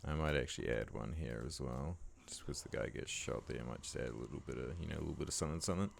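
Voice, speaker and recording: A man with a croaky voice giving a laid-back, casual editing tutorial, talking loosely with lots of fillers. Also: a bass voice, quick pace, slight background noise. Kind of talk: casual screen-recording tutorial voice-over.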